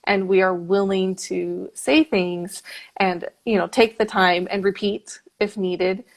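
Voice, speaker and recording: A woman's voice: medium pitch, little pitch movement, takes it slowly. A woman in casual interview conversation, talking in an agreeable, informal, explanatory way.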